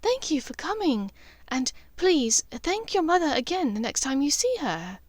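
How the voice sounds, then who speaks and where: Woman acting an earnest, high-voiced young witch. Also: very animated, measured pace, no echo. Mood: grateful.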